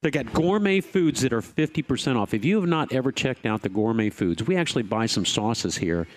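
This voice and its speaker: A man's voice: medium pitch, expressive. A man co-hosting a live home and garden radio show, joking with colleagues and running through store discounts. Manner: jovial, teasing, reads deals off quickly. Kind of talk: casual radio chat and store promo.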